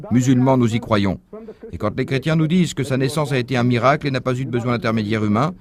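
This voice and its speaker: A man with a fairly high voice lectures in a measured, teaching manner. His pitch swoops widely as he stresses points and poses rhetorical questions to his listeners. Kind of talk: dubbed lecture, explaining a point.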